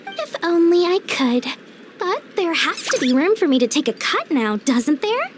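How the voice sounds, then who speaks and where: A woman's voice: very high voice, very animated, a little slow, noisy background, heavily acted. A woman playing a cunning, greedy young merchant with cheerful, theatrical sales patter in an anime game. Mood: wheedling, sly.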